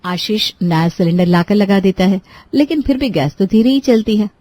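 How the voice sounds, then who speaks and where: A woman reads a scripted cartoon moral story as its narrator. Her pitch is average, and the recording is dry with no room sound. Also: moderate pace, mellow, no room sound.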